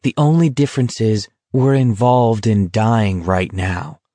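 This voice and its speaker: A man's voice: medium pitch, measured pace, warm tone, no room sound, close to an everyday voice. A man voicing an earnest, exasperated young man arguing insistently in an audiobook. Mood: grim. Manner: insistent, emphatic, pleading. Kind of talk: audiobook character dialogue.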